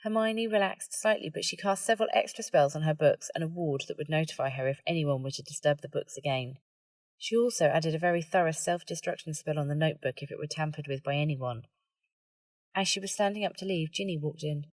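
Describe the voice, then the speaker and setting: A woman's voice: deep voice, a little fast, darkish tone, dry recording. A woman reading a story aloud as scripted audiobook narration.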